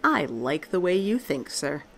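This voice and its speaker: A woman's voice: lowish voice, very animated, measured pace, slight background noise. A woman playing Tessa, a tough, sarcastic and profane survivor, in a fan audio drama. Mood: wry.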